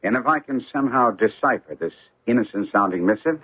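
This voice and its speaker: Gentleman sleuth, precise and theatrical, swooping intonation, radio mystery, narrow muffled sound. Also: mid-range voice, expressive, fairly quick, slightly rough. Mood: brisk, keen. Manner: precise, formal, theatrical phrasing. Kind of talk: radio mystery drama acting.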